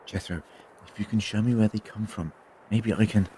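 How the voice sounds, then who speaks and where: An actor playing an eccentric, inquisitive time-traveller in an audio drama, muttering briskly to himself. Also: male voice, on the low side, dark tone, a little hiss or hum, close to an everyday voice. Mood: curious, determined.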